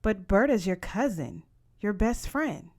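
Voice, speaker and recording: A woman's voice: mid-range voice, expressive, a little slow, a little mellow. Woman voicing a firm, no-nonsense mother. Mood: matter-of-fact. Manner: commanding, coaxing. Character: firm, no-nonsense Mexican American mother, teasing.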